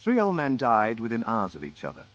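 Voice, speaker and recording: A man acting as the narrator of a radio murder mystery, measured and dryly ironic. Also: medium pitch, wildly swooping pitch, highs cut off. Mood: dry, grave. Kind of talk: scripted radio drama narration.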